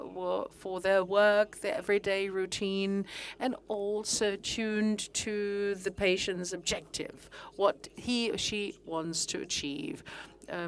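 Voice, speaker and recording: A middle-aged woman giving a conference presentation, speaking haltingly with frequent pauses and fillers. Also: medium pitch. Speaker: conference speaker on fitness training.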